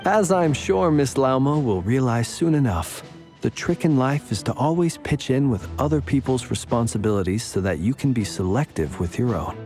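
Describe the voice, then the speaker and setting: Man as courteous, wry gentleman, medium pitch. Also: lively intonation, normal speed, faint music behind, lightly acted. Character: polite, slyly persuasive, smooth-talking gentleman, adult male. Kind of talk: video game character acting.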